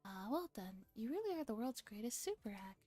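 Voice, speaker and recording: A woman streamer reading a visual novel aloud in a soft, relaxed ASMR style, sometimes slipping into a whisper, with casual chat. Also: on the high side, a little fast. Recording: music playing behind the voice. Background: music.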